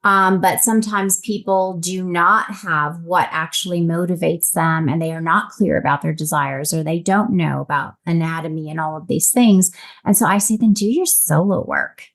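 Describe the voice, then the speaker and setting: A woman's voice: fairly low pitch, normal speed. A woman relationship coach explaining things as a podcast guest in a casual, reflective, conversational way. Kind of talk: casual explanatory interview talk.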